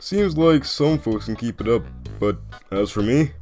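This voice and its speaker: A man voicing a lazy, wry skeleton joker with quiet menace in a comic dub, talking in a laconic, folksy way. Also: medium pitch, animated, a little slow, soft background music. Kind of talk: animated comic dub acting.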